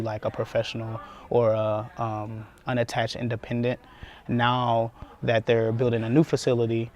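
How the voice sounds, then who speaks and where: A man answering an interview question in a halting way, with many fillers. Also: mid-range voice, darkish tone.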